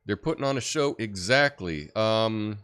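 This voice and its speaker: A deep-voiced man hosting a livestream, chatting casually and reading viewer comments with lots of fillers. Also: a little slow, clear, resonant tone. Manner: rambling, relaxed, many fillers and restarts. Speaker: livestream host.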